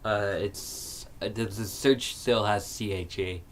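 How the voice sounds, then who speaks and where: A man co-hosting a stream, casually explaining card game rules in halting bursts with fillers. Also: on the low side, steady tone, slight background noise. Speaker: stream co-host. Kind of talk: casual chat while playing a card game. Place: game store.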